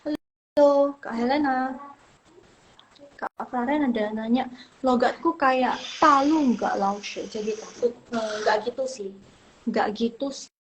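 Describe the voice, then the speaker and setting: A woman's voice: fairly high pitch, slow pace, slight background noise, poor audio. A young woman from Indonesia teaching Mandarin in a casual live online lesson, chatty and reassuring, trailing off and repeating herself.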